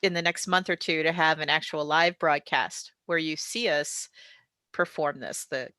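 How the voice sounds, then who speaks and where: A woman's voice: deep voice, steady tone, normal speed, bright, forceful tone, no room sound. A woman hosting a casual panel Q&A, asking questions and responding conversationally. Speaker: host, Q&A moderator.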